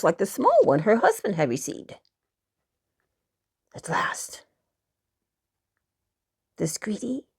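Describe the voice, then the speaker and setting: A woman narrating a fairy tale for children expressively, with dramatic lingering emphasis and hushed dips. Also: mid-range voice, gravelly, husky. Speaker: children's storyteller.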